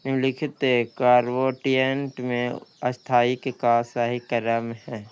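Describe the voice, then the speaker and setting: A man's voice: mid-range voice, monotone, takes it slowly, a little mellow, clean tone. A man working through practice questions as a coaching teacher, reading each one out and repeating questions and answers.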